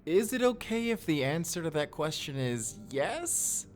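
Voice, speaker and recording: A man's voice: on the high side, sing-song, unhurried, faint music behind. A man playing a bewildered, apologetic reluctant recruit in a time-travel drama, speaking informally and hesitantly. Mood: sheepish, apologetic. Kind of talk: cinematic audio roleplay acting.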